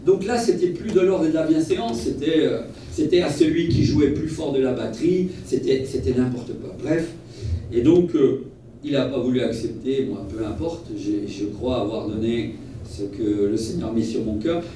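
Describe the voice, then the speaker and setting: A man preaching as a travelling evangelist, telling personal stories in an earnest, animated way and repeating phrases for emphasis. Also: mid-range voice, moderate pace. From Portuguese family origin. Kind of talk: sermon with personal storytelling. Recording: echoey hall, distant mic, faint noise.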